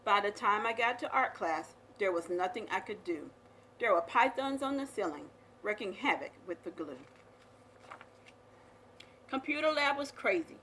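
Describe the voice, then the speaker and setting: A woman reading a children's picture book aloud as a storyteller, with expressive, dramatic delivery. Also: medium pitch, normal speed, slight background noise, poor audio.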